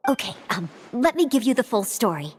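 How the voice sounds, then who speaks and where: A woman's voice: fairly high pitch, very animated, fairly quick, darkish tone, breathy edge. A woman voicing an eager, polite and dutiful young maid in a video game, earnest and courteous in every phrase. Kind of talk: video game character acting. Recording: studio voice over game music.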